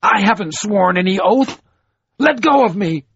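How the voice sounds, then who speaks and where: A man voicing a desperate, furious young warrior who shouts stridently, on a dull lo-fi recording. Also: high voice, expressive, measured pace, slightly rough, no echo, pitched above the performer's own voice, exaggerated voice.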